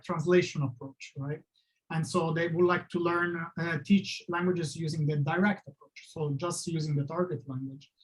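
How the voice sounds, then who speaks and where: A man's voice: high voice, poor audio. A man presenting his product in a webinar over a video call, talking in a loose, conversational way with hesitant restarts and non-native phrasing.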